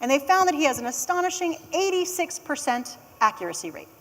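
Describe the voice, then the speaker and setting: A woman's voice: high-pitched, very animated, brisk, long reverb tail. An American woman giving a conversational conference keynote with wry asides. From United States. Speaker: conference speaker. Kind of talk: keynote talk.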